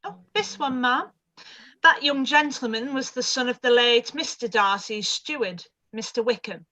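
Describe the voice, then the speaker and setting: Radio play: woman as an elderly housekeeper, proud and talkative, higher voice. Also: moderate pace, rough recording. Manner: chatty, warm, old-fashioned servant speech. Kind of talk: live-read radio play.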